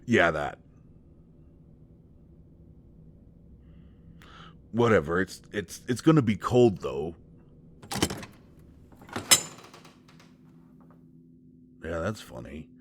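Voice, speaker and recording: A man's voice: low-pitched, very animated, normal speed, slight background noise. A man playing a nervous, protective, old-fashioned country man in an intimate roleplay audio drama, speaking in a hesitant, folksy way. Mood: awkward, affectionate.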